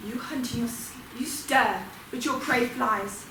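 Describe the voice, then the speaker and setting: Actress as scornful avenging ghost, high and forceful, urgent tragic declamation, echoey room. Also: female voice, lively intonation, measured pace. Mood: bitter, goading. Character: vengeful female ghost rousing sleeping hunters, wounded and scornful. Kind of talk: Greek tragedy stage acting. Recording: echoey room, distant mic, faint noise.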